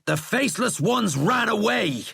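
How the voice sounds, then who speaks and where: Man acting a loud, scornful army general. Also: sits high, sing-song, measured pace, vocal fry, slightly rough, no room sound, studio quality, voice pushed up, exaggerated voice. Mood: loud, exasperated. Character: angry, outspoken male army general. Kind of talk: audiobook character acting.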